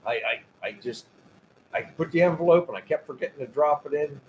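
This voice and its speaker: A man's voice: fairly high pitch, a little fast, darkish tone, a little hiss or hum, poor audio. Stammering, laughing man in his fifties. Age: 50s. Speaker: host. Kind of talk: casual livestream chat.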